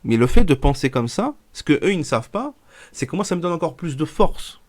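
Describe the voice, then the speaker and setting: A man's voice: fairly high pitch, big pitch swings, brisk, a little mellow. A man in his twenties, stuffy from a cold, talking casually to his viewers in a rambling way full of fillers and restarts. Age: 20s. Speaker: YouTuber. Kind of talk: casual vlog talk to viewers.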